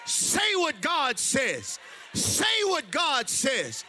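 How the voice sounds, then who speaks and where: A man preaching a sermon in a church, emphatic and loud, mixing humor with exhortation. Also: very high-pitched, wildly swooping pitch, extremely slow, a little hiss or hum. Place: church sanctuary.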